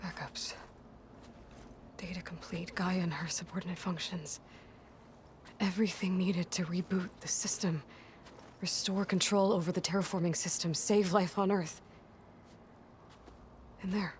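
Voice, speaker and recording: An actress playing a determined, suspicious young outcast warrior in a video game, with a dry, blunt, sarcastic and guarded delivery. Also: female voice, fairly low pitch, steady tone, measured pace, pauses often, a little mellow, a little hiss or hum, close to an everyday voice. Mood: pressing, skeptical. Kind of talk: video game cutscene acting.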